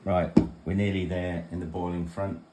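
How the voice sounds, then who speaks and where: A man's voice: low-pitched, little pitch movement, normal speed, dark tone, a little hiss or hum, rough recording. A man giving a casual home demonstration in a rambling, matter-of-fact way, like a vlogger in his kitchen.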